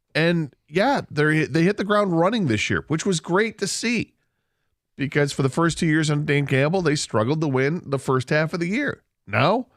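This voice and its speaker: A man co-hosting a sports radio show, who weighs his points calmly with a few fillers. His voice sits a touch high, and the studio sound is dry and close. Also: no echo. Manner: measured, analytical, with fillers. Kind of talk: casual sports talk.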